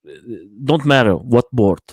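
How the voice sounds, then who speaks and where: Hesitant man from Portugal, halting English. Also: mid-range voice, expressive, slightly rough, dry recording. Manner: halting, searching for words, many fillers. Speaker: guest. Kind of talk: casual live stream chat.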